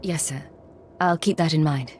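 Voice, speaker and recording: A woman's voice: low-pitched, moderate pace, darkish tone, breathy edge. A woman playing a businesslike intelligence agent in a video game, composed, clipped and controlled. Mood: businesslike, deferential. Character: cool, businesslike female imperial intelligence agent.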